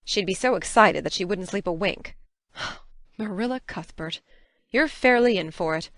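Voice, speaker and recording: Woman acting stern, brisk spinster with lively intonation. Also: mid-range voice, dry recording. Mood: wry. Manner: crisp, scolding, no-nonsense. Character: stern, brisk, practical middle-aged spinster farm woman. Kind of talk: audiobook dramatic reading.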